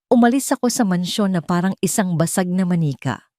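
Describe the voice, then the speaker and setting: A woman with a Filipino accent narrating a dramatic first-person story in an expressive, scripted storytelling manner. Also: on the low side, brisk, dry recording, clean studio sound.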